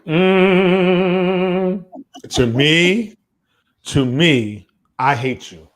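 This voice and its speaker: A man's voice: high voice, drawn-out words. A man co-hosting a podcast, chatting casually about music in an emphatic way, repeating phrases for stress. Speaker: podcast co-host.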